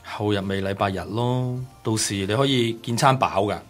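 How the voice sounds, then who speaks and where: A man with a Hong Kong Cantonese accent playing a stingy, sharp-tongued middle-aged boss in a radio drama. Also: on the low side, moderate pace, lightly acted. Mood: mocking. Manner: brusque, dismissive, stammers when frightened. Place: small padded radio studio. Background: music.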